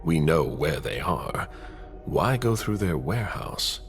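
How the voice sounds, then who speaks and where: An actor playing a wary vampire assassin in a game cutscene, terse and formal, with a gravelly voice. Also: male voice, very deep voice, sing-song, takes it slowly, soft background music, full character voice. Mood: wary, probing. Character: wary, formal male vampire assassin serving his prince. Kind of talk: dramatic video game cutscene acting.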